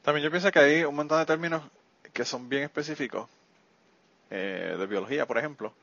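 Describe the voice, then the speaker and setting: Gravelly man hosting. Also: fairly high pitch, fairly quick, fairly bright tone. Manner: friendly, laughs often, rambling asides. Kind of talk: casual interview chat. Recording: muffled lo-fi dry audio.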